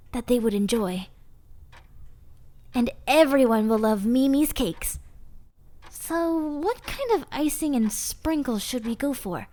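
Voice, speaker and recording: A woman's voice: fairly high pitch, a little mellow, a little hiss or hum. A woman voicing a sweet, eager, scatterbrained monster girl in a roleplay audio drama, chatting and rambling bashfully to the listener. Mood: cheerful, proud.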